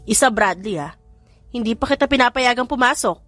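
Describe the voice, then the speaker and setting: A woman's voice: on the high side, fairly quick, fairly bright tone, dry recording, natural voice. An emphatic young Filipina nurse and mother, acted by a female narrator, loving yet firm. It is romance audiobook dialogue set over music. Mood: annoyed, firm. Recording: music bed behind voice.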